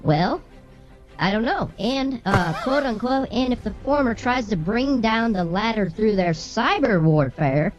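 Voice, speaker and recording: A woman's voice: a little high, animated, a little slow. A brash, bossy woman co-hosting a show, reading the news with casual comic banter and swearing. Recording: slightly muffled, music underneath.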